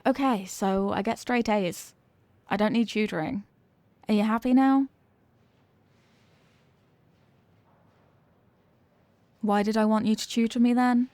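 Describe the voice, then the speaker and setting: A woman's voice: fairly high pitch, moderate pace, warm tone, no room sound, lightly acted. A young woman acting a flustered, coy popular cheerleader girl with a secret crush, in an intimate ASMR romance roleplay. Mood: reluctant. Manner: coy, flustered, dramatic, with hesitant stammers.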